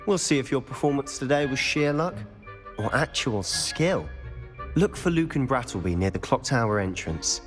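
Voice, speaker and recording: A man playing a teenage wizard in a fantasy game, charming and conspiratorial. His intonation is lively and full of teasing confidence. Music plays prominently behind him. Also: medium pitch, moderate pace, close to an everyday voice. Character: cocky, charming, rule-breaking teenage male wizard student. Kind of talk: video game cutscene acting.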